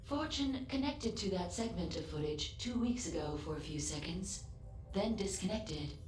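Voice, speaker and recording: A loyal female android played by a woman speaks in a lowish, echoing voice over faint noise. She is formal, precise and matter-of-fact, a measured, literal-minded ship's assistant from a sci-fi game. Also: expressive, a little mellow, close to an everyday voice. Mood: clinical, deadpan. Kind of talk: scripted video game voice acting.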